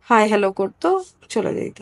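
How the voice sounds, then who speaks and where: A woman from Bangladesh giving a personal account as an interview guest, candid and somewhat halting. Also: medium pitch, flat delivery.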